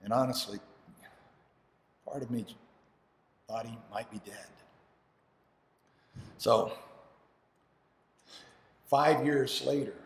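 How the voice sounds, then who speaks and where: A man acting a devoted, grieving father in a dramatic monologue, telling of his lost son haltingly and earnestly, with dramatic pauses. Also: mid-range voice. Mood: quiet, pained. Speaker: actor performing an audition monologue. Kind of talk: dramatic first-person monologue. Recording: echoey hall with long reverb.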